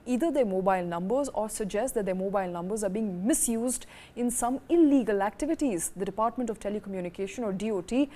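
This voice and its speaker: A woman's voice: mid-range voice, lively intonation, quick pace, hardly any pauses, warm tone. A woman with an Indian accent anchoring the news, both reading reports and discussing them.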